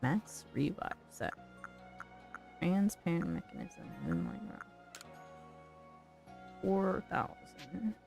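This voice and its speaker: A woman's voice: fairly low pitch, wildly swooping pitch, takes it slowly, vocal fry, long pauses, darkish tone, faint music behind. A woman chatting casually on a live game stream as its host, thinking aloud in a friendly, chatty way.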